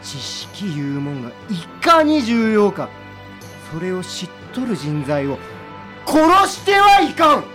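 An actor playing a stern but earnest middle-aged samurai official with a Satsuma dialect, speaking formally and pleading with conviction. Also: male voice, sits high, wildly swooping pitch, slow, sharp tone, soft background music, heavily acted. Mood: impassioned pleading. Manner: formal, archaic, heartfelt pleading. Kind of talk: studio audio drama acting.

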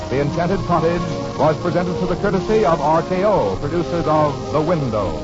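Male announcer, fairly high voice, 1940s sponsor read, muffled broadcast, faint music. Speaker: radio announcer. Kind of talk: 1940s radio announcing, scripted sponsor pitch.

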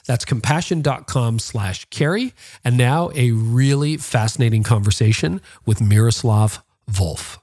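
A man's voice: mid-range voice, measured pace, hardly any pauses, darkish tone. A man speaking as a podcast host, in interviews and sponsor reads.

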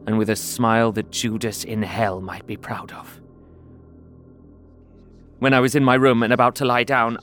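A young man playing a frightened Englishman who narrates his ordeal in an earnest, tense way for an audio drama. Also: lowish voice. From England. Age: young adult. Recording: music score under the voice.

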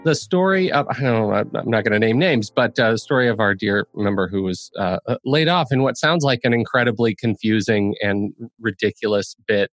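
A man hosting a podcast in a casual, chatty way, expressive and playfully dramatic in his emphasis. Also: fairly low pitch, a little fast, hardly any pauses, no room sound. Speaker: podcast host.

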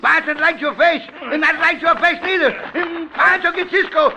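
An actor playing an excitable, comical Mexican cowboy sidekick who speaks broken English in an old radio western. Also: male voice, very high-pitched, moderate pace, gravelly, over a noisy crowd. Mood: indignant, blustering. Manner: broken English, refers to himself by name. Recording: noisy, crackly old radio recording.

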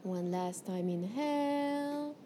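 A mellow, warm-toned woman raised in Morocco leads a workshop class in a gentle, unhurried way. She pauses and restarts as she searches for the right words, and a faint hiss sits under her voice. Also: sits high, lively intonation. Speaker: herbalism teacher. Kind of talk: guided breathing and spiritual workshop talk.

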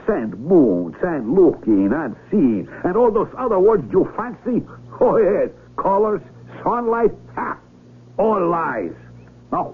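Blunt blind doctor, slow, animated, mocking, contemptuous, old radio play sound. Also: male voice, a little high, breathy edge, exaggerated voice. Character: scornful blind village doctor and farmer, gruff, bluntly honest. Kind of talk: radio drama acting. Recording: tinny vintage radio broadcast with hum.

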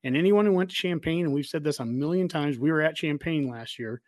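Male sports podcast host, American, slightly high voice, emphatic and opinionated, close dry sound. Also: fairly quick, darkish tone, no room sound. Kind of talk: casual sports discussion.

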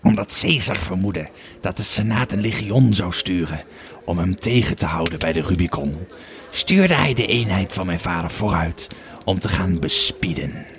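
Man voicing a gruff, deep-voiced old Roman legionary. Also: lively intonation, a bit bright. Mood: solemn reminiscing. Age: older. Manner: gruff, slow storytelling. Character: grumpy old Roman legionary, male, weary and wine-drinking. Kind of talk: audio drama storytelling.

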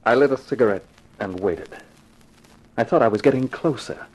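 A tough private detective played by a man tells his case in a wry, slangy way. His voice sits at a middle pitch. It is vintage 1950s radio acting with faint noise on the recording. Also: normal speed, natural voice. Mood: wry narration. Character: hard-boiled wisecracking male private detective narrating his case.

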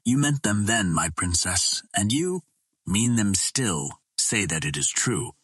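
A man acts out an ardent soldier hero from an old adventure audiobook. He is determined and formal, with a lowish, husky voice. The sound is dry and close. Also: lively intonation, slow pace, a bit bright, no room sound, full character voice. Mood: ardent, pleading. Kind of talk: dramatic audiobook dialogue.

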